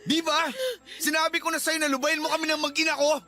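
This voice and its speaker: A man's voice: very high voice, level tone, brisk, over music. A man playing a defensive, agitated cheating husband who shifts blame in a radio drama. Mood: defensive, angry. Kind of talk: Tagalog radio drama acting.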